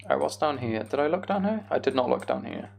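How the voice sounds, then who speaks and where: British man with a creaky voice. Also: mid-range voice, lively intonation, fast, a little mellow. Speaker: game streamer. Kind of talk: casual Minecraft playthrough commentary, chatting with viewers.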